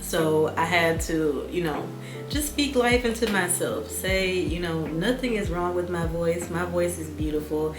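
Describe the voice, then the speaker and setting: A young adult woman talking in a calm, soft-spoken, reflective way in a casual vlog. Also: fairly low pitch, a little slow. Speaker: YouTuber. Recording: echoey room with music bed.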